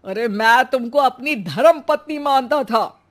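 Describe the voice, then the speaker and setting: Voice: high-pitched, unhurried, fairly bright tone. A performer voicing a principled, exasperated elderly father in a cartoon, speaking in a chiding, moralizing manner. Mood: indignant. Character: principled, exasperated elderly father, grey-haired family patriarch. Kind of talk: animated cartoon dialogue.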